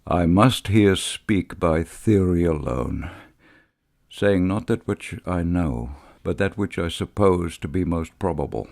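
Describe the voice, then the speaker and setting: A man voicing a brooding Victorian gentleman doctor in an audiobook, confessing with formal, deliberate reflection. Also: deep voice, animated, creaky, full character voice. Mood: grave, confessional.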